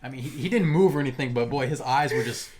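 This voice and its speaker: A man's voice: mid-range voice, fast, a little mellow. A man co-hosting a podcast, talking things through in a reflective, casual way. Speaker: podcast co-host. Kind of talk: casual chat.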